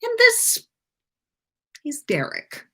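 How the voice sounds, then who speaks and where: A good-humored young wizard man in a storybook, as a woman reads him. He sounds sweet, teasing and proud, at an unhurried pace with lively swings in pitch. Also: heavily acted. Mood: playful.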